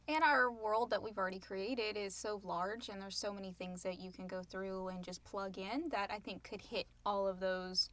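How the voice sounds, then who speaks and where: A woman's voice: mid-range voice, steady tone, moderate pace, hardly any pauses, a bit bright. A woman co-hosting a podcast, chatting casually in a question-and-answer session. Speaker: podcast co-host and co-creator. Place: small enclosed recording booth.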